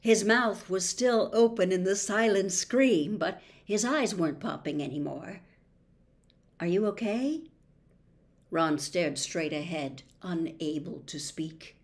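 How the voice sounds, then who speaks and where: An older woman narrating a story expressively, in a dramatic, deliberate manner. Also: on the low side, fairly even intonation. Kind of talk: expressive audiobook-style narration. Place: small bedroom, indoors.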